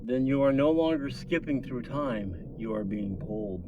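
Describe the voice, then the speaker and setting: A man voicing a grave male synthetic assistant in a science fiction story, giving measured warnings. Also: medium pitch, expressive, narrow, tinny audio, background noise, lightly acted.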